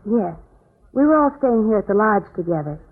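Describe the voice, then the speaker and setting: A woman's voice: mid-range voice, very animated, moderate pace. An actress playing a poised, insistent woman under suspicion, earnest and ladylike, in a 1940s radio melodrama style. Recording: muffled, tinny 1940s radio broadcast.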